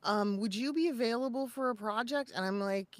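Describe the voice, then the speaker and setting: A woman's voice: a little high, fairly quick, no echo. A woman co-hosting a casual advice chat, talking in a conversational, emphatic way and acting out example replies. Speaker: podcast co-host and voice-over coach.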